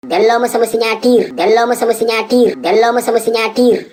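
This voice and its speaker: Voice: mid-range voice, a little fast, close to an everyday voice. An actor voicing a jittery, boastful old male war veteran with a Senegalese accent in a comedy cartoon. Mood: panicked, alarmed. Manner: excitable, exclamatory.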